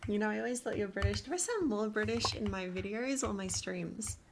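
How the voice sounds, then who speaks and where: A woman's voice: mid-range voice, animated, fairly quick, background noise. A woman with an Australian accent, chatting casually as a streamer, laughing often and rambling with fillers. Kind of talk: casual chat.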